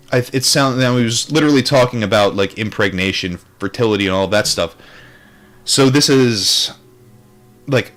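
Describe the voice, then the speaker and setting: A man's voice: lowish voice, fairly bright tone. Man reading a book aloud, music behind. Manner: informal, stumbles over Sanskrit terms, repeats phrases. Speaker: book reader, streamer. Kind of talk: reading aloud with casual commentary.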